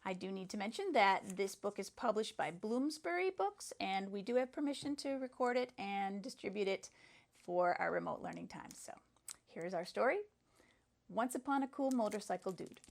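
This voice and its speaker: A woman's voice: mid-range voice, lively intonation, normal speed, vocal fry. A woman, a school librarian, introducing a picture book read-aloud for children.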